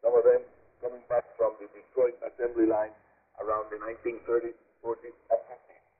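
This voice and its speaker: A man with a Cuban accent and native Spanish, hosting a scripted radio program in a deliberate, formal announcer style with emphatic phrasing, heard over shortwave. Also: medium pitch, little pitch movement, slightly rough. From Cuba. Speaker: radio host. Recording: narrow, muffled shortwave radio reception. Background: shortwave broadcast received on a radio.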